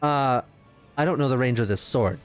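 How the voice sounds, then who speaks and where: A man's voice: mid-range voice, normal speed, faint music behind. A man playing a tabletop game, chatting casually in a playful, jokey way and swearing freely. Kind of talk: casual excited game chat.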